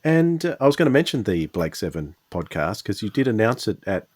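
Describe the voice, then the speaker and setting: A man's voice: on the low side, big pitch swings, fairly quick, darkish tone, slightly rough, no room sound. An Australian man presenting a podcast in a casual style as its host.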